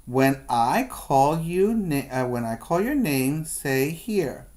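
A man's voice: fairly high pitch, very animated, slow pace, a little mellow. A man voicing a strict woman kindergarten teacher in a storybook, firm and commanding.

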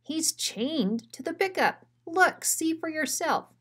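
A woman's voice: high voice, expressive, slow, a bit bright, voice pushed up, exaggerated voice. A woman voicing a self-assured male dog who leads in a children's story, emphatic and commanding. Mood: cocky, commanding. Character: cocky, bossy male dog, storybook leader.

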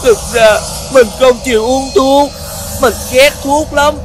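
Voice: sits high, fairly even intonation, takes it slowly, rough recording. An actor voicing a tearful, sick little ghost who whines and complains in a children's cartoon. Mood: whiny, sulky. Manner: whiny, sneezing complainer. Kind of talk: children's animated cartoon voice acting. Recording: music under the voice.

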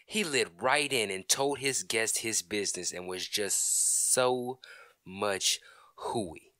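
A man's voice: lowish voice, takes it slowly, sharp tone, dry recording, studio quality. A man playing an indignant, stubborn middle-aged husband in an old-time radio comedy, blustering, sarcastic and emphatic. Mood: irritated, defensive.

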